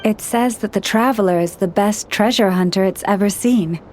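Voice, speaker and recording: A woman playing a kindly, earnest young scholar-priestess in a video game, speaking in a measured, articulate and reassuring way. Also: medium pitch, a little mellow, a little hiss or hum, natural voice. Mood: composed, pleased.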